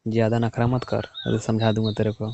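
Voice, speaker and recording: Mellow young man on a muffled call. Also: monotone, a little fast, creaky, slight background noise. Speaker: caller. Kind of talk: casual private phone chat. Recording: muffled low-fidelity phone call recording.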